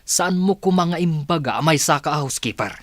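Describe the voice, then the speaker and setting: An actor playing a curt, short-tempered company boss and husband in a Filipino radio drama, with a Filipino accent. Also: male voice, fairly high pitch, lively intonation, brisk, no echo, lightly acted. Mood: angry.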